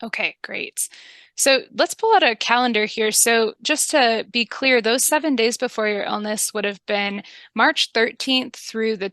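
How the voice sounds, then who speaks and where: A woman narrating a training video and playing a calm, reassuring public health interviewer. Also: fairly high pitch, no room sound.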